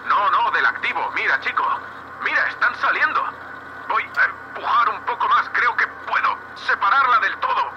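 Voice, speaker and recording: A man's voice: high voice, very animated, moderate pace. A man with a Castilian Spanish accent playing a blunt, foul-mouthed space salvage boss in a sci-fi audio drama, heard over a radio link. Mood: stunned, urgent. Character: gruff, sweary space salvage boss, awed by alien tech. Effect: radio comms filter. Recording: muffled, noisy space-suit radio link.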